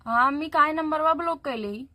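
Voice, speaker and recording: A woman's voice: sits high, fairly quick, pushed and bright. A young woman with a Bhojpuri accent, a YouTuber talking in an insistent, complaining way. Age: young adult. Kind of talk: phone argument and talk to viewers.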